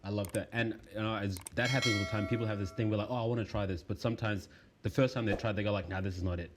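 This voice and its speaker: A man hosting a casual interview, firing off quick and eager questions in a fairly low voice. A faint hiss of background noise sits under his speech. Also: steady tone. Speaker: interview host.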